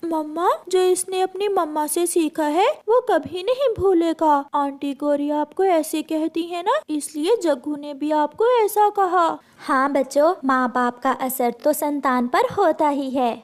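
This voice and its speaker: A woman's voice: high voice, a little slow. A woman voicing a motherly bird teacher in a cartoon story, instructive, patient and firm as she addresses children. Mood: patient, moralising.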